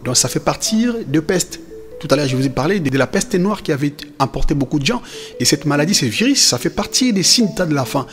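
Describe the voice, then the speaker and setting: Expressive, high-pitched devout father, played by a man. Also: brisk, soft background music, natural voice. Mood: instructive. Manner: effusive, encouraging, repeats phrases for emphasis. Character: devout, proud, affectionate father teaching his children. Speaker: father teaching his children.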